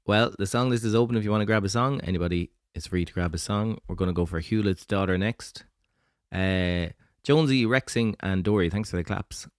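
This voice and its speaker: Soft-spoken low-voiced male musician. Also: normal speed, dry recording, studio quality. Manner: talks softly, keeping his voice low and deep on purpose; relaxed and chatty, thanking viewers. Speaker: musician streaming live. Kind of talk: casual chat between songs on a live stream. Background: faint guitar strumming and tuning.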